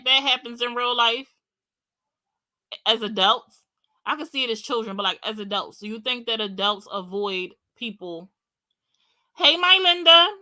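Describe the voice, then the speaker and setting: A woman co-hosts a livestream with a bright, crisp voice pitched a little high. She reads out viewer names and keeps putting casual questions to the chat. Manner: inquisitive, poses questions to the chat. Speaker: livestream co-host reading comments. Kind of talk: casual live-stream chat.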